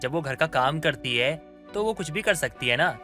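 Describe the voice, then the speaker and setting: A man's voice: a little high, animated, moderate pace, pushed and bright. A man voicing an irritated young husband in a moral story cartoon. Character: irritated young husband siding with his mother. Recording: music under the voice.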